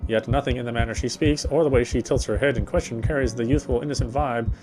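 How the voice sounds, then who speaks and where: A man streaming a game, reading its text aloud as measured, dramatic narration with occasional stumbles. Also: mid-range voice, fairly even intonation, poor audio. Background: game music.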